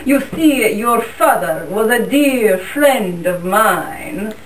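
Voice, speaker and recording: A young woman voicing a cunning, smooth-talking male fox in a class puppet show, speaking in a flattering, honeyed way. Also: medium pitch, slow pace, slight background noise. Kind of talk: school puppet show acting. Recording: roomy sound from a distant mic.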